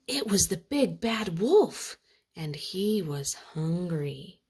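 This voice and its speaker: A woman reading a children's storybook aloud with expressive, dramatic narration. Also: on the low side, takes it slowly, breathy edge.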